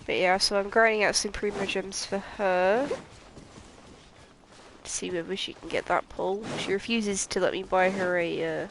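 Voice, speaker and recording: Gravelly-voiced woman streaming a game. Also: mid-range voice, steady tone, unhurried, slight background noise. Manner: chatty, rambling, swears mid-game. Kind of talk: casual gameplay commentary. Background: game music.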